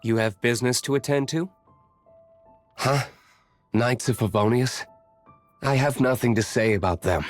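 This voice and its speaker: An actor playing an aloof, brooding young nobleman warrior in a video game, reserved and formal, speaking in measured, curt lines. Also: medium pitch, soft background music, natural voice.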